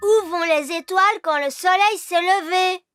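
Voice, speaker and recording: A woman's voice: very high-pitched, moderate pace, music in the background. A woman voicing an earnest, easily indignant little elf boy in a French cartoon dub. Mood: curious.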